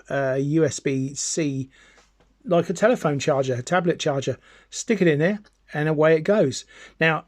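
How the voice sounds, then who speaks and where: A man's voice: fairly high pitch, darkish tone, no room sound. A man casually presenting a hands-on product demonstration, chatty and explanatory, repeating points for emphasis. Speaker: amateur radio hobbyist presenting a gear review.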